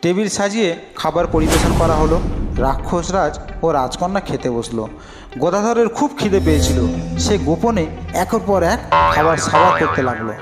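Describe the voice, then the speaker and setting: Guy telling a fairy tale, highish voice, big reverb, music playing behind. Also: animated, moderate pace. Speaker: storyteller. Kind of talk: scripted fairy-tale narration. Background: clear music.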